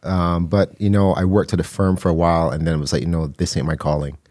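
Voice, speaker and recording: A man's voice: deep voice, level tone, quick pace, darkish tone, no echo. A middle-aged man from Barbados, a guest telling his story conversationally, close on a studio microphone. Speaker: guest, mental health counselor and artist. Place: small indoor podcast studio. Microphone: close studio microphone on boom arm.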